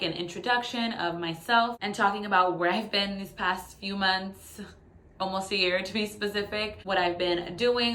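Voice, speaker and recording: A woman's voice: medium pitch, a bit bright. A woman in her late twenties giving a chatty, candid personal update to her phone in a living room, in a casual vlogger style. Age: late 20s. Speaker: YouTube vlogger. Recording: echoey room sound on phone.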